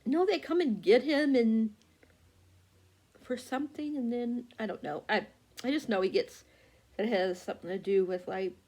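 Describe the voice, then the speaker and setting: A woman's voice: on the high side, moderate pace, long pauses, warm tone. A woman chatting casually about upcoming books, rambling with lots of fillers and restarts while working a phone. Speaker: book vlogger. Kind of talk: casual chat.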